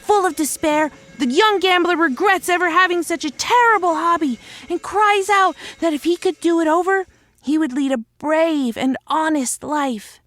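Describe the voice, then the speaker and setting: High-pitched cute little woodland creature. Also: female voice, moderate pace, a bit bright. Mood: dramatic storytelling. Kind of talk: audio drama character acting.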